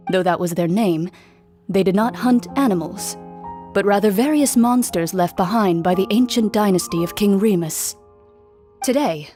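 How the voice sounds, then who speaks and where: Woman playing a composed serious duelist, mellow dark tone, calm, matter-of-fact. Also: medium pitch, close to an everyday voice.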